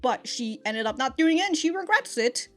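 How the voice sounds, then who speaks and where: A woman's voice: sits high, expressive, moderate pace, a bit bright, soft background music. A woman giving a chatty, rambling review as a reaction host, with casual slang and swearing. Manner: profane, slangy, laughs often while talking.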